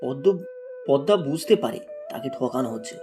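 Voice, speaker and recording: A man's voice: mid-range voice, animated, normal speed, over music. A man narrating a scripted moral story as a storybook narrator.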